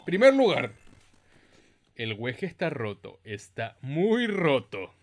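A man with a Chilean Spanish accent giving casual, excitable let's play commentary in a playful, slangy, exclamatory manner. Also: medium pitch, slow. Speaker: gaming YouTuber, host.

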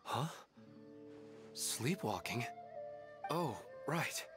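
An earnest young husband from a video game, talks slowly at a medium pitch. He sounds subdued and wistful, with game music behind him. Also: big pitch swings. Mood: dazed. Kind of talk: video game character acting.

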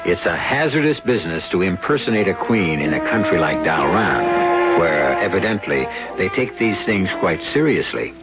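A man hosting and narrating a radio mystery show in a measured, wry storytelling manner. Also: deep voice, sing-song. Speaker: host and narrator. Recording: dull lo-fi 1970s radio broadcast with music bed.

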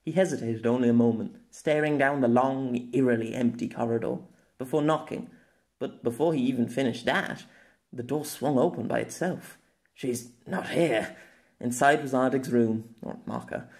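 A man narrating his own story as an audiobook, in a steady, measured voice. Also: mid-range voice. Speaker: author and narrator. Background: faint music.

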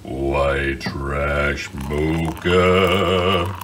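A man putting on a very deep, slow, flat pre-coffee voice, like mock chanting. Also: noisy background, full character voice. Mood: mock savoring. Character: sleepy man with a gravelly morning voice.

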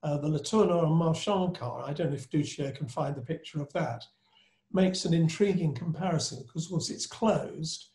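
A man on a video call, judging classic cars on a panel, talking in a measured, admiring way about fine detail. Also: fairly high pitch, a little mellow, reverberant. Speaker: panel judge.

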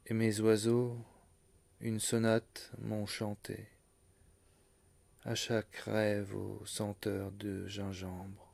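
A man reading poetry aloud in a literary recitation, phrasing deliberately in short, separated groups of words. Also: on the low side, completely flat delivery, vocal fry, a little mellow. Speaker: poetry reader.

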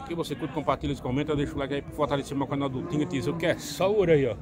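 A man's voice: mid-range voice, rapid-fire. A man from Northeast Brazil walking around outdoor cattle pens, chatting to viewers and calling out prices on his phone camera. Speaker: vlogger showing a cattle market. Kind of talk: casual walk-around commentary. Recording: crowd noise of a busy market.

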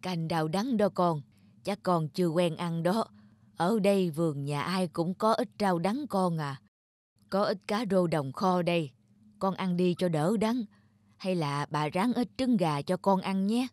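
A woman voicing a gentle, worried old grandmother from the countryside in an animated folk tale, tender and patient, explaining things simply. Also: on the low side, unhurried, no echo, studio quality, lightly acted. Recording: music under the voice.

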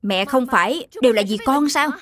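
A woman's voice: high voice, normal speed, lightly acted. A woman playing an exasperated, scolding mother of young children in a cartoon dub. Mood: chiding. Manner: chiding, exasperated.

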